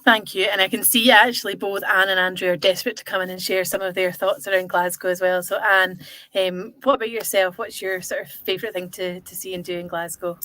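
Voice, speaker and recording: Female moderator, mid-pitch, dry close sound, brisk and encouraging. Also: level tone, sharp tone, no echo. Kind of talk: hosting a live Q&A panel.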